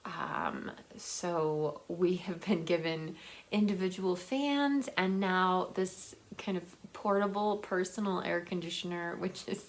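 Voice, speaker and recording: A woman's voice: lowish voice, takes it slowly, low-quality recording. A woman chatting casually and enthusiastically to viewers in a rambling, conversational book vlog. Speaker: book vlogger.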